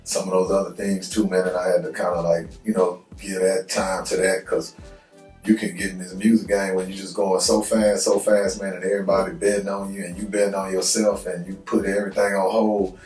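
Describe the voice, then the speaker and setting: A man in his fifties, a guest on a podcast, talking in a reflective, unhurried way and often saying "you know what I'm saying". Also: deep voice, little pitch movement, darkish tone, reverberant, far from the mic, soft background music. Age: 50s. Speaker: guest, record label owner. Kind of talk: casual podcast interview.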